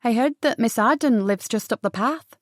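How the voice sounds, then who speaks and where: A woman playing a gentleman's lively, curious sister in an audiobook, animated and teasing in manner. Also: a little high, normal speed, dry recording, studio quality, lightly acted. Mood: curious, gossipy. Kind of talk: audiobook character dialogue.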